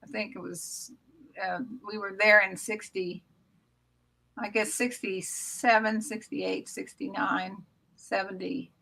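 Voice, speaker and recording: Older american lady from oklahoma, guest writer chatting about her past, bright crackly voice. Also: medium pitch, level tone, slow pace, gravelly. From Oklahoma, United States. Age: 70s. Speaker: guest author. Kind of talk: casual reminiscing in an interview. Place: small room at home, indoors. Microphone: computer mic on video call.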